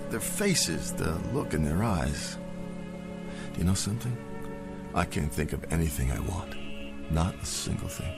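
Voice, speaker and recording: A very deep-voiced man plays a gentle, warm-hearted Santa figure in an old audio drama. His voice swoops lively across its range, with music underneath. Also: measured pace, long pauses. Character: kindly man playing Santa Claus. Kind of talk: radio drama acting.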